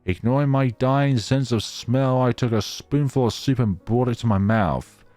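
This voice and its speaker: A man's voice: medium pitch, normal speed, darkish tone, faint music behind. A man narrating a visual novel as a let's player, reading expressively and slipping into casual commentary.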